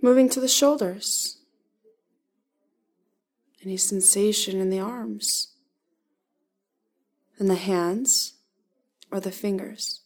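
Slow-paced woman guiding a meditation. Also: mid-range voice. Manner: calm, gentle instruction with short, spaced phrases. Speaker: meditation guide. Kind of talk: guided body-scan meditation.

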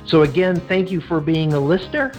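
Man, podcast host, slightly high voice, rambling, many fillers, faint music. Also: expressive, a little fast, a little mellow. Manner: rambling, with frequent fillers and restarts. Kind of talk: podcast interview.

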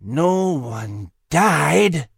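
A man's voice: fairly high pitch, very animated, extremely slow, gravelly, breathy edge, no room sound, studio quality, exaggerated voice. A man voicing a sneering, menacing, mocking villain in a storybook.

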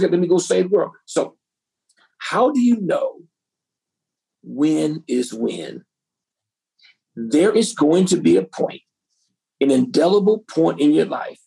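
Brief: woman pastor, gravelly husky voice, preaching, rhetorical questions to the room. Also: lowish voice, mellow, poor audio. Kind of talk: sermon to a women's group.

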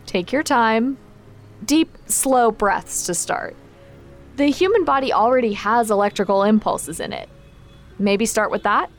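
An actress playing a caring but exacting magic trainer in a naturalistic audio drama, frank and instructive in manner. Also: female voice, a little high, expressive, measured pace, faint music behind. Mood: focused, instructive. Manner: frank, instructive, gently concerned.

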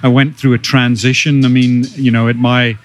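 A middle-aged man with a Scottish accent, a biotech executive answering interview questions in a measured, detailed, conversational way. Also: medium pitch, little pitch movement. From Scotland. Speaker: podcast guest, biotech executive.

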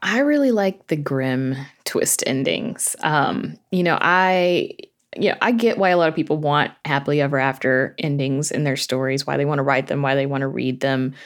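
Low-voiced woman in casual interview. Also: normal speed. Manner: chatty, informal, thoughtful explanations. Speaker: interview guest, horror fiction podcast creator.

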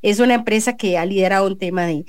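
A woman's voice: medium pitch, quick pace, no room sound, clean studio sound. Earnest Costa Rican woman radio host. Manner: earnest, many restarts and fillers. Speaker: radio show host and journalist. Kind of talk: editorial commentary and interview.

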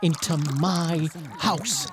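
A man's voice: fairly high pitch, very slow, a little hiss or hum. A man playing an indignant, stubborn grown son who sputters in disbelief in an audio comedy. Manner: sputtering, incredulous. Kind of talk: audio drama comedy acting.

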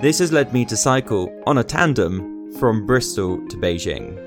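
A man's voice: medium pitch, lively intonation, normal speed, music in the background. A man hosting a podcast, reading his introduction and then asking a guest questions in an earnest, enthusiastic way. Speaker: podcast host.

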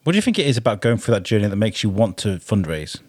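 A man's voice: lowish voice, sing-song, fast talker, a little mellow, husky, no room sound, studio quality. A man hosting a podcast, chatting casually and asking his guests questions. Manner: conversational, asks questions. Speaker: podcast host. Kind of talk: casual chat.

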